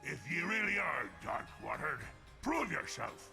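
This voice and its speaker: An actor playing a gruff, suspicious old pirate ghost in a video game, speaking in theatrical archaic pirate talk. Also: male voice, a little high, very animated, a little slow, music in the background, exaggerated voice. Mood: wary, hopeful. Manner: archaic pirate speech, grandly menacing.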